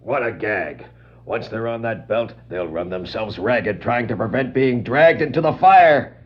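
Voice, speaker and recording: An actor playing a crude, excitable villain's henchman in a 1960s radio-play style. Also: mid-range voice, a little slow, natural voice. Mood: amused. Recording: dull 1960s vinyl record.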